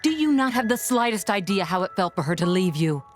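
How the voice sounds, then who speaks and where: A woman's voice: medium pitch, very animated, a little fast, soft background music, natural voice. A woman voicing a stern, intense young heroine in a video game, challenging and reproachful.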